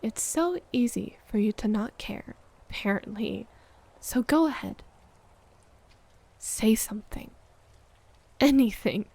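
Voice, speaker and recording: A fairly high voice in a very dry recording, a woman acting out a needy, wounded girlfriend. She is accusing and emotional, shifting from pointed reproach to breathy, hushed murmurs in an intimate roleplay monologue. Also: lively intonation, takes it slowly, pauses often, no room sound, exaggerated voice. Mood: seething, hushed. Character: hurt, needy, accusing live-in girlfriend waiting up late.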